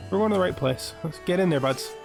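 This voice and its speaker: A man's voice: sits high, fast. A man giving casual gameplay commentary, chatting and thinking aloud as he hosts. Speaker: host of a gaming series. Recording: music playing behind the voice.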